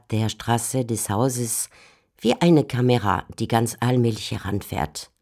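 A commentator with a medium-pitched voice reads scripted literary commentary. The delivery is that of an explanatory radio essay. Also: darkish tone.

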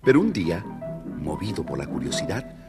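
A man's voice: low voice, big pitch swings, moderate pace. A man narrating a scripted children's story in a theatrical, playful way. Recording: reverberant studio, music behind.

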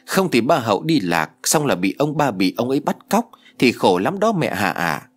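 A man's voice: mid-range voice, sing-song, moderate pace. A man voicing a chatty, clingy little girl in a read-aloud story, pleading in a whiny child's way. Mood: insistent.

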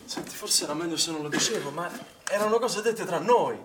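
A man's voice: fairly high pitch, lively intonation, a little fast, roomy sound, distant mic, background noise, lightly acted. An actor playing an accused young male swimming instructor, defensive and indignant, in naturalistic stage drama. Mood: pleading, defensive.

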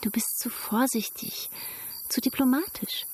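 A woman's voice: high voice, a little slow, whispered. An actress in a radio drama playing a headstrong young woman in love who talks in a direct, challenging way. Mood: defiant, intimate.

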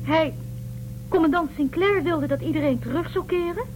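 An upset young female starship crew member played by an actress speaks in an extremely high, emotional voice. It is radio drama dialogue with a clear hum behind it. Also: normal speed. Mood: upset, reproachful. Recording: humming noise behind radio-play dialogue.

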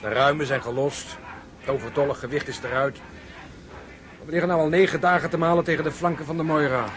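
An actor playing a worried, pessimistic ship's officer, formal and frank, in an audio drama. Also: male voice, a little high, fairly even intonation, quick pace, slight background noise, natural voice. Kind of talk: Dutch radio drama acting.

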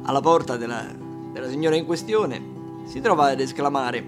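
A man's voice: mid-range voice, expressive, a little fast. A man narrating in a theatrical storytelling style. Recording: guitar music under the voice. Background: acoustic guitar.

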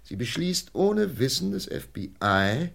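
An actor playing a methodical, persuasive FBI investigator in a German radio crime drama, measured, lecturing and emphatic. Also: male voice, a little high, big pitch swings, vocal fry, fairly bright tone, heavily acted.

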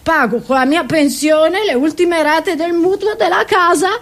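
A woman's voice: extremely high pitch, a little slow, a bit bright, exaggerated voice. A woman playing an indignant old pensioner grandmother in a comic monologue, rambling, stammering and repeating herself as she reminisces.

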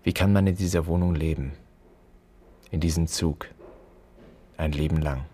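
Very deep, husky, bitter grieving son. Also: male voice, fairly even intonation, moderate pace, dark tone. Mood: brooding. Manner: brooding, accusing. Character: bitter grieving adult son addressing his dead father. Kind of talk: literary radio drama monologue.

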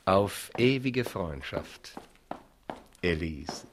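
An actor playing a suave, self-satisfied French marquis, gallant and courtly, in a 1970s German radio drama. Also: male voice, low voice, animated, extremely slow, background noise, exaggerated voice. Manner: gallant, courtly, smooth-talking.

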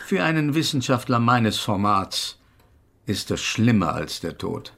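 An actor playing a self-important, persuasive scientist in a German radio drama, with a pompous, instructing manner. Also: male voice, lowish voice, big pitch swings, measured pace, gravelly, a bit bright, exaggerated voice. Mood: coolly persuasive.

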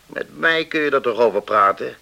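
A man's voice: mid-range voice, animated, normal speed, creaky, bright, forceful tone. An actor playing an older countryman, a concerned elder brother, in a radio play.

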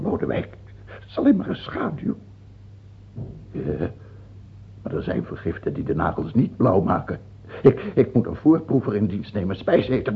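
An actor playing a sick, paranoid, self-pitying old king in a radio drama, with a husky, gravelly voice and a theatrical, halting delivery. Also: mid-range voice, full character voice. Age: older. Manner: theatrical, halting, swinging between whining and outbursts. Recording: dull, muffled old radio recording.

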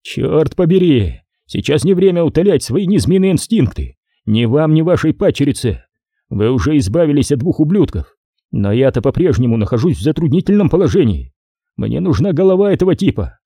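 A man's voice: fairly high pitch, big pitch swings, fairly quick, warm tone, slightly rough, dry recording, clean studio sound, pitched above the performer's own voice, heavily acted. A man voicing a hot-tempered, impatient gangster in a story, with a coarse, exasperated manner. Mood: angry, impatient.

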